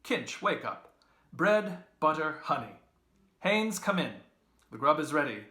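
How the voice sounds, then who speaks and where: A man's voice: on the high side, big pitch swings, slow, bright, forceful tone, echoey room, voice pushed up, exaggerated voice. Man reading as a boisterous, mocking young joker. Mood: bossy, irritable. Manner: declamatory, exclaiming, teasing. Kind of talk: literary audiobook character voice.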